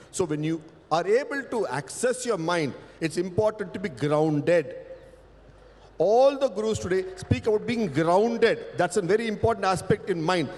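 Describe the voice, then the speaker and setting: A man whose first language is Tamil speaks in a high, carrying voice on a festival panel. He talks with emphasis, poses rhetorical questions and stretches out key words. The sound rings with a long hall reverb. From India. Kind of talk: panel talk.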